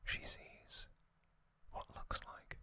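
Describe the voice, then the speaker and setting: A man whispering an ASMR narration, telling a story gently in short, hushed phrases as the host. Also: drawn-out words.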